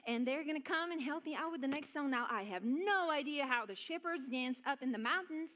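A woman's voice: high voice, lively intonation, a little fast, fairly bright tone. A woman from Bulgaria leading a children's church lesson, encouraging and giving simple step-by-step instructions. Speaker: children's worship song and dance leader.

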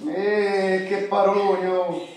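A woman's voice: medium pitch, level tone, slow pace, darkish tone, close to an everyday voice. Actress as a blunt Roman woman, very echoey. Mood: calmer, folksy. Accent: Roman Italian. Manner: colloquial, blunt, argumentative. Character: earthy, blunt Roman commoner, exasperated and defiant. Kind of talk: stage-like radio drama acting. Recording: echoey distant room, noisy.

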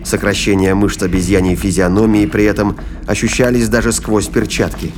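A man's voice: on the low side, level tone, moderate pace, background noise. A man reading scripted dramatic narration as the narrator of an audio drama.

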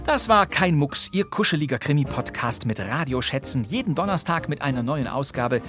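A man's voice: fairly high pitch, expressive, normal speed, fairly bright tone, soft background music. A man hosting a podcast, reading scripted credits and announcements. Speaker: podcast host.

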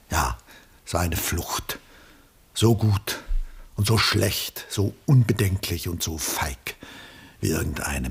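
An actor playing an ageing, reflective former lover in a radio drama, measured and confessional in his phrasing. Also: sits low, exaggerated voice. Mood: resigned, frank. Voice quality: husky, breathy, gravelly. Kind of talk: 1960s German radio play acting.

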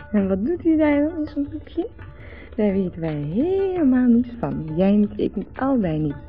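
A giggly, slurring Dutch wife rambles flirtatiously and repeats herself, tipsy at a party. Her voice has a creaky edge. It is a 1970s radio play with a dull sound and faint music behind her. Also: a little high, very animated, slow pace, pauses often, heavily acted. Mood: tipsy, teasing. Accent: Netherlands Dutch. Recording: dull vintage radio recording.

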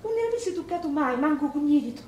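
A bitter, wounded Sicilian widow played by an actress pours out her grievances in a high, impassioned voice. Her Sicilian dialect moves between murmur and outcry on a theatre stage, in a roomy sound with faint noise. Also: animated, brisk, a little mellow, dull audio. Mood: anguished, resentful. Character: bitter battered Sicilian widow, youngest of three sisters.

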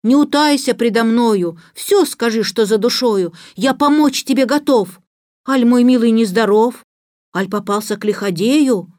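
Woman as a caring little magic horse, high-pitched. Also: unhurried, a little mellow, no room sound, studio quality, natural voice. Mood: concerned. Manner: tender, coaxing, advising. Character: loyal, caring little magic humpbacked horse, wise and gently chiding. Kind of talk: dramatized verse fairy-tale reading.

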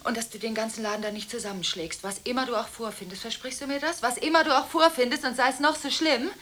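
A woman's voice: fairly even intonation, quick pace, a little hiss or hum, lightly acted. An actress playing a volatile, contradictory woman arguing through one side of frantic telephone calls in a radio drama. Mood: urgent, bargaining. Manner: abrupt swings between hushed confiding and shrill scolding.

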